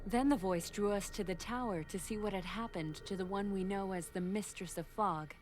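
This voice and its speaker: A woman's voice: medium pitch, moderate pace, a little mellow, close to an everyday voice. A woman playing a tender, determined young sister in a dramatic fantasy adventure game. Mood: grave, determined. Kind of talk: dramatic video game acting.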